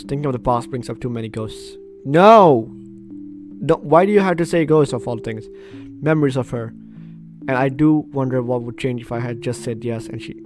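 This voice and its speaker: Chatty game streamer, medium pitch, animated reactions, reads story text aloud, clear guitar music behind. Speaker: gaming video host. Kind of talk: casual playthrough commentary mixed with reading game text aloud.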